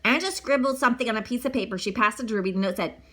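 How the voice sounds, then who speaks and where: A woman's voice: fairly high pitch, racing, a bit bright. A woman reading a children's story aloud with playful, theatrical delivery. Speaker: storybook reader. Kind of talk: animated children's read-aloud narration.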